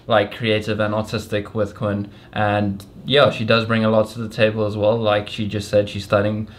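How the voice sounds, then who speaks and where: A man's voice: fairly low pitch, moderate pace. A young man, a vlogger, chatting casually and rambling a little as he answers viewer questions with his girlfriend. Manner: relaxed, rambling, laughs often. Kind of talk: casual couple Q&A.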